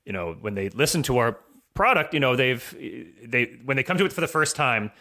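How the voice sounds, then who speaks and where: A man's voice: medium pitch, sing-song, a little fast, sharp tone. A man speaking as a podcast guest and audio drama creator, explaining his points casually with comparisons. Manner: explanatory, uses analogies.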